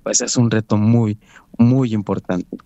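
A man with a Mexican accent whose first language is Nahuatl, answering interview questions in an earnest, proud and measured way. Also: on the low side, steady tone, creaky, dark tone, dry recording, dull audio. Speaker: guest, Nahuatl language coordinator. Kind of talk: interview conversation.

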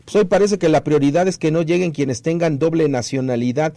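Mellow-voiced man hosting radio. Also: on the high side, fairly quick, no room sound. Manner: pointed, questioning, rising on emphasis. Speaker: radio host. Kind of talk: radio news interview.

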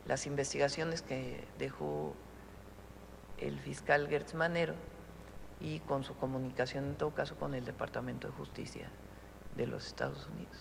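Measured Mexican woman in a big hall. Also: a bass voice, fairly even intonation, vocal fry, slight background noise. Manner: measured, deliberate, with frequent pauses and fillers. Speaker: head of state answering press questions. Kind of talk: press conference answers.

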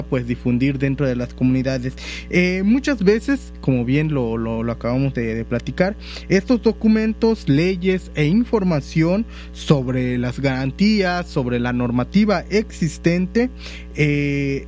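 A man hosts an interview, speaking in a measured, somewhat high voice. He pauses on fillers now and then as he sets up his question. Faint music sits underneath. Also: darkish tone. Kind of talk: conversational interview.

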